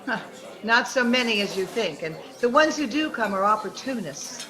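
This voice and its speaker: Woman playing radio-play femme, flirtatious, wistful, fairly high voice, faint crowd behind. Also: animated, normal speed, pushed and bright, poor audio. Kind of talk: 1940s radio drama acting.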